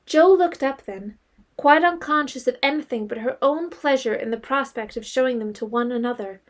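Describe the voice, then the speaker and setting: High-pitched woman narrating a story. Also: normal speed. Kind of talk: scripted storybook narration for audio drama.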